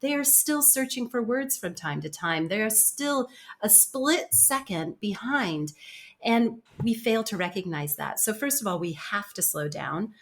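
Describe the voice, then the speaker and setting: An American woman speaking as an interview guest, earnest and emphatic, with an animated conversational delivery. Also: mid-range voice, measured pace. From United States.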